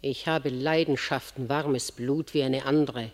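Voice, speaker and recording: A woman's voice: rumbling low, fairly even intonation, unhurried. A woman acting a proud, vengeful queen mother in a classical verse radio drama, declamatory and scornful. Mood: passionate. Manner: declamatory, theatrical. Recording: long hall reverb.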